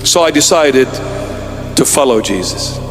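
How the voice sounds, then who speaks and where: A man's voice: mid-range voice, expressive, a little slow. A bishop in his fifties preaching an earnest, emphatic sermon with repeated phrases. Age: 50s. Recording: long hall reverb with music behind.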